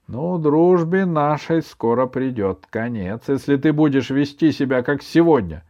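A bossy, doting old granny from a children's storybook, acted out by a man, pitched well up and drawn out slowly. The delivery is indignant and plaintive, swinging widely in pitch, on a dull recording. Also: lively intonation, dark tone.

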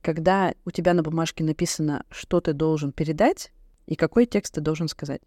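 A woman co-hosts a casual podcast in an expressive, giggly way. She talks as if to a friend, illustrating her points with examples. The sound is dry and close to the microphone. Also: low-pitched, a little fast, dry recording. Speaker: podcast co-host. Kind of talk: casual chat between friends.

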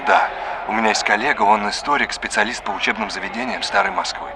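A man's voice: fairly low pitch, sing-song, fast, gravelly, a loud crowd, very noisy. An actor playing a courteous, erudite male art historian in an audio drama, explaining and quizzing like a teacher. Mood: pleased, businesslike.

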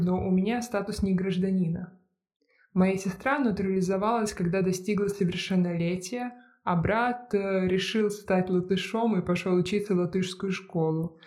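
A reader narrates a first-person essay in a steady, measured way. The voice stays low-key and mellow, with a narrow, level pitch that barely rises or falls. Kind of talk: scripted narration.

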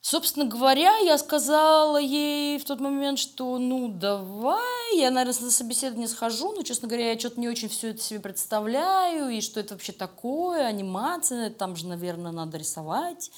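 A woman's voice: a little high, expressive, fairly quick, few pauses. A woman being interviewed, talking casually and telling stories in informal, slangy speech. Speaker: animation studio creative director being interviewed. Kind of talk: casual conversational storytelling interview.

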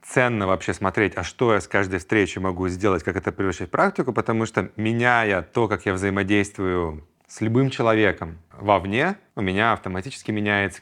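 A man's voice: lowish voice, fairly bright tone. A man in his thirties hosting a solo podcast, talking reflectively and acting out his inner voices in quoted asides. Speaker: podcast host.

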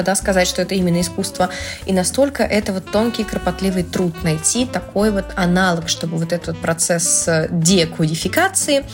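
A young woman from Russia, a translator, explaining things in a casual, playful vlog with slangy asides and animated emphasis on key words. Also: lowish voice. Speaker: translator and Italian language blogger. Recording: music playing loudly under the voice. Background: background music.

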